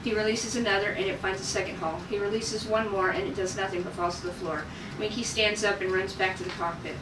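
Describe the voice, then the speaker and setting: A woman's voice: mid-range voice, steady tone, fast talker. A woman reading stage directions aloud as narrator at a table read of a script. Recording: echoey room, distant mic, noisy.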